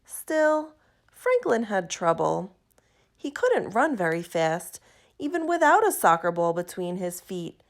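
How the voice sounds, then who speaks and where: A woman reading a children's picture book aloud in an expressive, deliberate, emphatic way. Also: medium pitch. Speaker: storybook reader.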